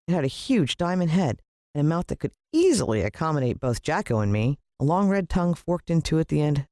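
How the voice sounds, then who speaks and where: A woman with an American accent giving a dramatic, expressive scripted narration of a children's story. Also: rumbling low, no room sound, studio quality. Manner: dramatic, playing a child's first-person point of view.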